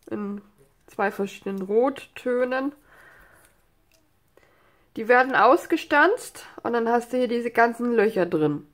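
A woman with a stuffy nose from a cold, chatting casually through a crafting tutorial at her desk, rambling with many fillers and asides. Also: mid-range voice. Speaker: craft channel host. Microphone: overhead camera filming hands on desk.

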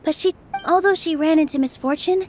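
A woman voicing a kind, sincere young dancer in an anime game, soft, earnest and reassuring. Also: high voice, a little fast, slight background noise, close to an everyday voice. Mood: thoughtful. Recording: music bed behind the voice.

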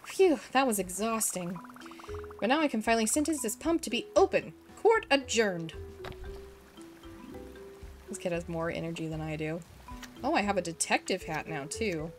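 A woman's voice: mid-range voice, expressive, normal speed, long pauses, soft background music. A young woman casually streaming a game and reading its dialogue aloud, while she is losing her voice from illness. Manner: chatty, reads the hero's lines playfully.

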